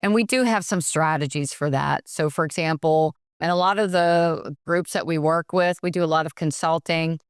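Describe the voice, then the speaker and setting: A middle-aged woman speaks as a nurse consultant on a podcast, energetic and practical, and she slips into little acted-out quotes. Her voice sits a little low and sounds smooth and clear. The recording is dry and close. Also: normal speed, few pauses, no echo. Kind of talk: podcast interview answer.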